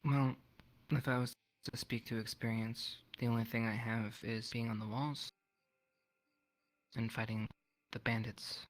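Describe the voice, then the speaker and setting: A man's voice: mid-range voice, little pitch movement, a little slow, lightly acted, poor audio. A man playing an earnest, deferential novice adventurer in a fantasy roleplay, speaking respectfully and a little hesitantly. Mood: troubled, candid. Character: earnest, deferential male novice adventurer, former wall guard. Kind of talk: improvised fantasy roleplay in character.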